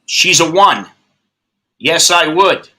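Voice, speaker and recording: American guy late 50s, rambly jokey chat, swears a lot, really bright voice, kinda high. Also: expressive, slow pace. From United States. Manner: rambling, profane, joking. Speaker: host. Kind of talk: casual chat.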